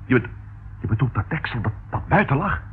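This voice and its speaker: An actor playing a middle-aged detective's sidekick, dry and grumbling, in a radio drama. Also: male voice, mid-range voice, very animated, a little fast, close to an everyday voice. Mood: relieved, curious. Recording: noisy, tinny old radio recording.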